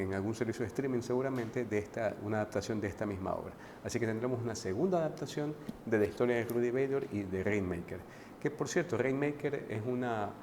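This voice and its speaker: A man giving a casual, conversational book commentary to his viewers, often pausing to restart and adding tag questions. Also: fairly low pitch, a little mellow, slight background noise. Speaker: book reviewer.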